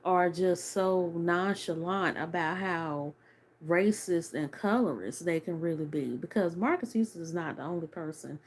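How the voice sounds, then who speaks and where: A woman's voice: fairly low pitch, measured pace, a little hiss or hum. A woman in her thirties giving a casual, opinionated video review, chatty and full of fillers. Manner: chatty, many fillers like "like" and "you know"; earnest, opinionated. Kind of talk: casual commentary to camera.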